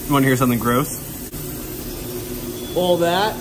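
A man's voice: mid-range voice, unhurried, background noise. A man in his thirties talking casually off the cuff as he works as a technician, partly muttering to himself, recorded on a handheld phone. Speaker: HVAC technician filming his workday. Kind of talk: casual off-the-cuff vlog talk. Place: on the job, often in cramped boiler basements. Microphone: handheld phone at arm's length.

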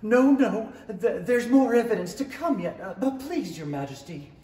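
A man's voice: high voice, lively intonation, moderate pace, warm tone. A middle-aged man playing a nervous, deferential rabbit herald in a 1930s-style radio play, hesitant and stammering. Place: indoor stage in a small theatre. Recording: roomy, echoing stage pickup.

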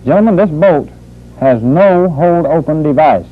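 Male army instructor for vintage training film, higher-pitched voice, formal and deliberate, thin phone-like sound. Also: expressive. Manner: formal, measured, deliberate phrasing. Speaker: military weapons instructor.

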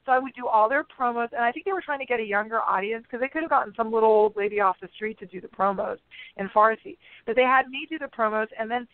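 A woman guest in a casual interview, talking in a chatty, rambling way full of fillers, her voice a bit raspy. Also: a little high, fast talker, no room sound. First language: English. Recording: phone-line radio sound.

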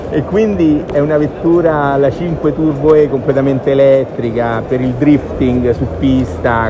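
Confident man pitching at a motor show. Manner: confident, promotional, fluent. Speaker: car company executive. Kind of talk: interview answers. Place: busy motor show hall.

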